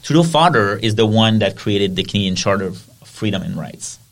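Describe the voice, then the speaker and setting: A man's voice: lowish voice, expressive, fairly quick. A man with a French accent, hosting a podcast interview and asking long, winding questions. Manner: asks long rambling questions. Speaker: podcast host. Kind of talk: conversational interview.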